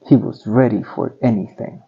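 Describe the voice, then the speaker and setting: A man narrating a children's storybook aloud in simple, deliberate phrasing for young listeners. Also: medium pitch, steady tone, vocal fry, dark tone, breathy edge, muffled recording.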